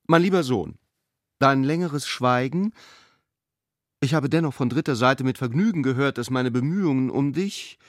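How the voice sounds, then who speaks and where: A man's voice: medium pitch, normal speed, no room sound, studio quality, natural voice. A man voicing a pedantic, self-important elderly father, a jurist, reading out a formal, long-winded letter in a radio drama. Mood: solemn, admonishing. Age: older.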